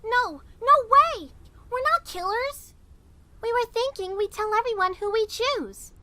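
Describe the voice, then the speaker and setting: A woman voicing a gentle, earnest little girl dragon, a bit hesitant, for an animated fan dub. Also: very high voice, a little slow, background noise.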